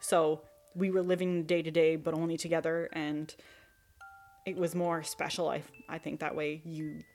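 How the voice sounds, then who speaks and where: A young woman and new mother telling her pregnancy story in a relaxed interview, with many fillers and restarts. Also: deep voice, normal speed, faint music behind. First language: not French. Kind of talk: personal testimony interview.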